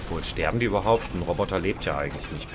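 A man's voice: low-pitched, very fast. A man playing a co-op game, talking in a dry, rambling way with muttered asides. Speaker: co-op gamer. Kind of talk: casual gaming chat. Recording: game noise loud behind.

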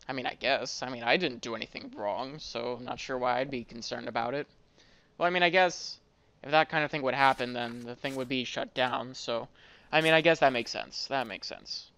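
A man's voice: mid-range voice, fairly bright tone, slight background noise. A man giving casual gameplay commentary, rambling and thinking aloud as he plays. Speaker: gaming video host.

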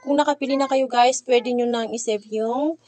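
A woman's voice: a little high, steady tone, normal speed, darkish tone. A young adult woman with a Filipino accent, giving a casual step-by-step tutorial voice-over and addressing viewers informally.

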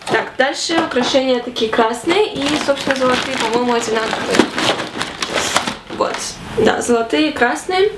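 A young woman in her twenties vlogging casually to camera in her small bedroom, chatty and animated. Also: high voice, normal speed, echoey room, heavy background noise. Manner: chatty and animated, gesturing a lot. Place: small bedroom with fairy lights.

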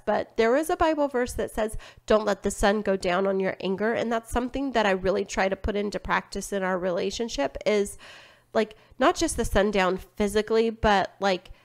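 A young adult woman co-hosting a podcast in casual chat, saying "like" a lot. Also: medium pitch. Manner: chatty, says "like" often. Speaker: podcast co-host. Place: home studio.

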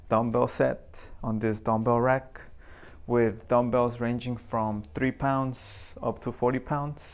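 Unhurried young man showing off his garage gym, plain mid voice, filmed on a phone. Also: medium pitch, clear, resonant tone. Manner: slow, unhurried, pausing between items. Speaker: home gym owner giving a tour. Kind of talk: casual walkthrough tour. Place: small home garage gym indoors.

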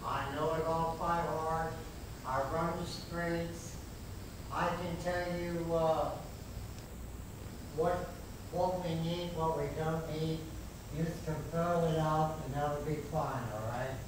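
A man in his eighties making a loud, emphatic, rambling public comment at a city council meeting. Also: fairly high pitch, flat delivery, slow. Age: 80s. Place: large council chamber, speaking from a chair. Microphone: far room mic. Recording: echoey chamber from far off with hiss.

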